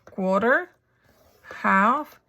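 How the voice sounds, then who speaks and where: A woman with a raspy, hoarse voice from a coming flu, chatting her way through a crafting tutorial and thinking aloud as she works. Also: mid-range voice, animated, extremely slow, sharp tone, a little hiss or hum. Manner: chatty, thinking aloud while working, frequent ums. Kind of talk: casual step-by-step crafting tutorial.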